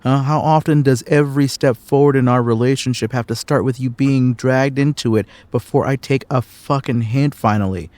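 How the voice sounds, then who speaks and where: A man's voice: mid-range voice, steady tone, moderate pace, hardly any pauses, darkish tone, no room sound, lightly acted. A man acting a hurt, accusatory fiancé leaving his partner in an audio drama. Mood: bitter, accusing. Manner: wounded, accusatory.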